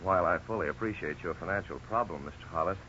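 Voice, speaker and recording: Low-voiced, forceful, courteous lawyer. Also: male voice. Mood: explanatory. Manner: formal, measured, explanatory. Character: measured, frank male lawyer, courteous and advisory. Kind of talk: 1950s radio drama acting. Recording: dull, noisy 1950s radio recording.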